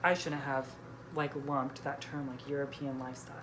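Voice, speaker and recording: A woman's voice: extremely low pitch, level tone, normal speed, crowd noise. A woman hosting a casual book vlog, chatting informally and peppering her sentences with "like". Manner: frequent "like" as filler. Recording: noisy room background.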